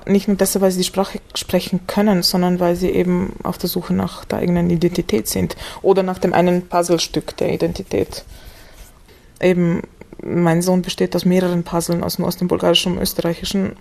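Flat gravelly woman guest. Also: fairly low pitch, a little fast. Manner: thoughtful, reflective. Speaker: interview guest, literary scholar. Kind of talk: recorded interview. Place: at her home.

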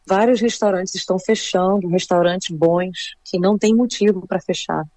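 A woman from Brazil speaks as an interview guest, reflective and emotional, leaning on small fillers as she thinks. Her voice sits at an ordinary middle pitch. The recording is dry and close, with no room sound. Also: normal speed, a little mellow, no echo. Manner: reflective, emotional, many fillers like "assim" and "sabe". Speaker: interviewee, returning immigrant. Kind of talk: casual conversational interview.

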